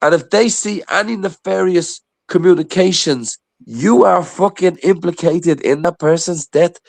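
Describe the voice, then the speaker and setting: A man talks casually on a livestream in a slightly higher than average voice. The sound is dry and close, and his manner is blunt and sweary. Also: measured pace, creaky, dry recording.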